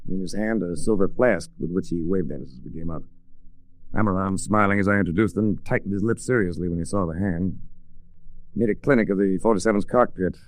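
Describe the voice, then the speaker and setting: An actor playing a tough, cool-headed investigator who also narrates, with an American accent and a direct, composed delivery in 1940s radio style. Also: male voice, deep voice, darkish tone, low-quality recording. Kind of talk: 1940s radio drama acting, hardboiled narration.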